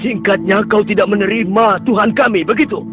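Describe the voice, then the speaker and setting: A man's voice: high-pitched, fairly quick, lo-fi sound, over music, natural voice. A man voicing a defiant male idol worshipper who challenges the prophet in a dubbed cartoon.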